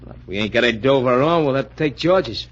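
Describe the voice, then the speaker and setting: A brash American small-time hustler played by a male actor sweet-talks his way along with slangy swagger and very lively, swinging intonation. It is old radio crime drama, muffled, with some hiss behind the voice. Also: mid-range voice, quick pace, natural voice. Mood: scheming. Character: cocky, smooth-talking small-time male hustler and dancer.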